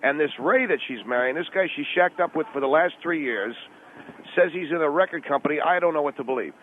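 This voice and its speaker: A man doing a brash middle-aged dad, argumentative, halting, self-justifying, tinny phone line, faint noise, radio comedy. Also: a little high, lightly acted. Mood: exasperated. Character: brash, defensive middle-aged father, money-minded caller. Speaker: radio show guest. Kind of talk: improvised radio call-in comedy.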